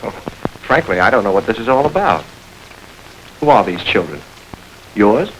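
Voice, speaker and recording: An actor playing a smooth, mocking gang boss who stays unruffled under questioning, in a 1940s film. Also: male voice, on the low side, very animated, normal speed, fairly bright tone, a little hiss or hum, rough recording. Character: suave smug male gang boss, adult.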